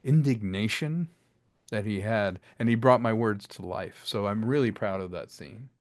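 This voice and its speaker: A man's voice: lowish voice, normal speed, no echo, studio quality. A man who directed an audio drama, chatting in an interview and acting out lines as he explains them. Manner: animated, acts out lines while explaining. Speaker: director, guest. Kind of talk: casual interview talk.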